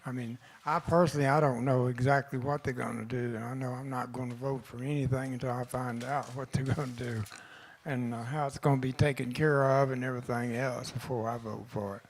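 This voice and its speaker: Texan man chairing the council, plain and folksy, mid pitch, faint ambience. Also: fairly even intonation, a little fast, few pauses, a little hiss or hum. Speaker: mayor chairing meeting. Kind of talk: chairing a public meeting. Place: council chamber.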